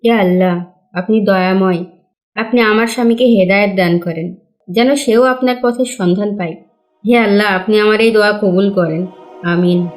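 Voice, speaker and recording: A woman's voice: mid-range voice, normal speed, faint music behind, natural voice. A woman voicing a devout, pleading wife in a cartoon, with an earnest, admonishing manner. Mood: prayerful. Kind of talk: cartoon voice acting in Bengali.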